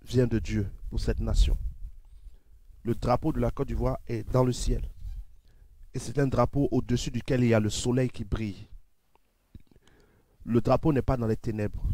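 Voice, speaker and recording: A man preaching fervently on a livestream in a steady repetitive cadence, with a head cold. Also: mid-range voice, no room sound. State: has a cold. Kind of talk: sermon with sung worship.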